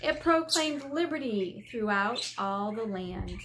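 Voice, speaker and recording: A woman's voice: medium pitch, animated, takes it slowly, a bit bright, slight background noise. An American woman, an elementary teacher, reading a children's book aloud and explaining it in simple, instructive terms.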